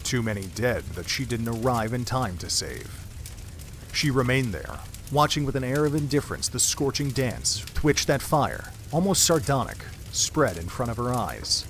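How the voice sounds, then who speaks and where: Solemn male narrator over clear background noise. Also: medium pitch, expressive, normal speed, a bit bright. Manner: solemn literary storytelling. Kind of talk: dramatic scripted narration.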